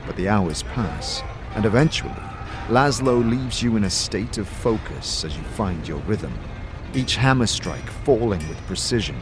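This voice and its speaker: A man narrates a fantasy story in a theatrical, deliberate style. His voice sits fairly low and swings widely in pitch. Music plays clearly behind him. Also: lively intonation. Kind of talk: dramatic scripted second-person fantasy narration.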